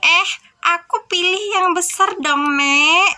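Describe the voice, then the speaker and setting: A woman's voice: very high voice, slow pace, pushed and bright, slight background noise, voice pushed up, full character voice. A woman voicing a greedy, spoiled young girl in a storybook tale, whining and complaining. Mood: greedy, eager. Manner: whiny, complaining, exclaiming.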